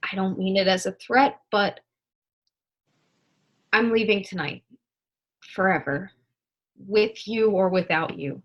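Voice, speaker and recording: A woman's voice: medium pitch, slow pace, long pauses, lo-fi sound. A young woman acting an ardent, impatient lover in a stage melodrama, declaiming with passion. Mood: pleading, impassioned. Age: young adult. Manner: declamatory, impassioned. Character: ardent, impatient lover, eloquently scolding and pleading. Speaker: student actor. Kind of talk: stage acting in a one-act period melodrama.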